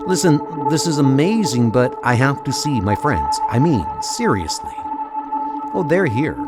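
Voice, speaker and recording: A man playing an excitable, harried young superhero in a comic book audio drama, with a breezy, eager way of talking. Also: medium pitch, measured pace. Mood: excited, relieved. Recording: music under the voice. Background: music.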